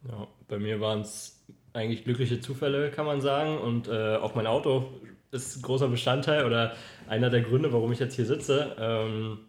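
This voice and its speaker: A man from Berlin talks as a guest in a casual conversation. His pitch is average and his storytelling is informal. The recording has a clear room echo. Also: moderate pace. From Berlin, Germany. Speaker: podcast guest, industrial designer. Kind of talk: casual chat, personal storytelling. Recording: roomy, echoing room.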